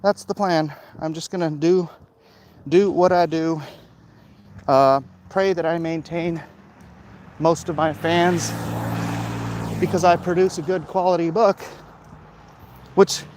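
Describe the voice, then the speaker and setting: Middle aged guy rambling slowly on a walk outside, kinda halting, bit of traffic noise. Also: on the high side, darkish tone. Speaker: comic book creator vlogging. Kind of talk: casual walk-and-talk monologue. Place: outdoors, walking along a hilly route.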